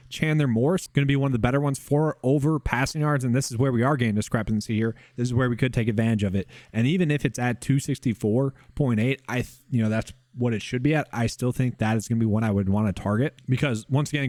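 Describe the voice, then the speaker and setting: A man hosting a casual sports betting breakdown, talking to viewers as he scrolls through stats, with his voice going hoarse. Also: medium pitch, darkish tone, no echo, clean studio sound. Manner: fast, conversational, addresses viewers as guys. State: losing his voice. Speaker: sports betting analyst host. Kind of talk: casual screen-share analysis.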